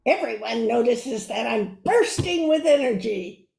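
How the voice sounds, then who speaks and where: A spry lady in her eighties, acted by a woman in a comic radio commercial. She gives her cheerful testimonial slowly and earnestly in a high voice, in an echoey room. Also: animated, gravelly, darkish tone. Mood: cheerful, proud.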